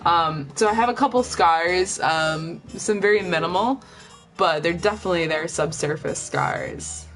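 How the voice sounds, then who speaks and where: A young woman chatting casually on a live stream, blunt and profane, rambling with lots of filler words. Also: unhurried. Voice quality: lower voice than most women. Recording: faint music behind. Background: faint music.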